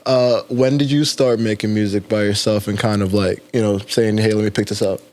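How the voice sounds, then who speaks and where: A man's voice: on the low side, steady tone, a little fast, a little mellow. Laid-back male podcast host. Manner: laid-back, hesitant questions with many fillers. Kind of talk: casual interview.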